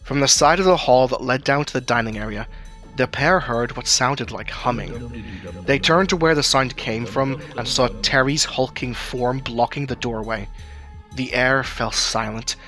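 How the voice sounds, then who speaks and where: A man's voice: medium pitch, pushed and bright. A man reading scripted third-person narration for an audio drama. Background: music.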